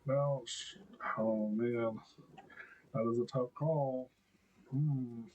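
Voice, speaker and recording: A man with a deep voice giving casual gameplay commentary, thinking aloud with lots of hesitant ums and restarts. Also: steady tone, drawn-out words, mellow, lo-fi sound, slight background noise. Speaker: gameplay video creator.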